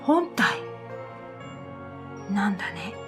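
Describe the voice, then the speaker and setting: A woman narrating a scripted first-person radio drama in a gentle, unhurried way, with breathy phrase endings. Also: mid-range voice, sing-song. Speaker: narrator and storyteller. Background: new-age music.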